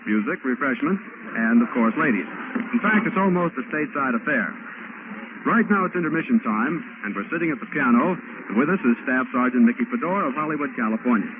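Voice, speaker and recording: Fast, animated 1940s American radio reporter. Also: male voice, medium pitch. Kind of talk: 1940s radio field interview. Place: enlisted men's club at a party. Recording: scratchy 1940s field wire recording, phone-like, noisy.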